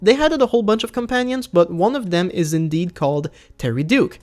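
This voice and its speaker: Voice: mid-range voice, animated, fairly quick, a little mellow, soft background music. A gaming video creator narrating a scripted review in a casual, enthusiastic way.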